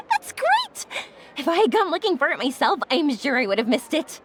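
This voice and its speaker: A bubbly young woman for a game story, speaks in a high voice with lively swings. She is chatty, warm-hearted and encouraging, over background music. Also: normal speed, exaggerated voice. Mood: excited, upbeat. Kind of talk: scripted video game story dialogue.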